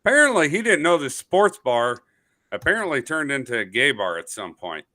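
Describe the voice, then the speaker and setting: A man's voice: mid-range voice, sing-song, moderate pace, pushed and bright, no echo. A man from Missouri with an American accent, casually telling personal stories as a podcast panelist. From St. Louis County, Missouri, USA.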